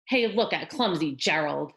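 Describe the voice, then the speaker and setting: A woman voicing brash, excitable jungle animals from a storybook, calling out in loud exclamations. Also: low voice, normal speed, vocal fry, exaggerated voice. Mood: sneering.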